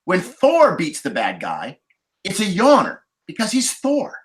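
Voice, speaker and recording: A man's voice: high-pitched, huge pitch swings, a little slow. A man talking casually as a podcast panelist about film, explaining his points emphatically.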